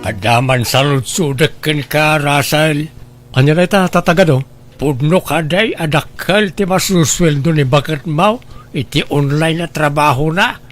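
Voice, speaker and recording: An earnest village man played by an actor with a fairly high voice and an Ilocano accent. He explains and cautions with frequent exclamations, as dialogue in an audio drama. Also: lightly acted. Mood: concerned. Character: earnest village man warning about online job scams.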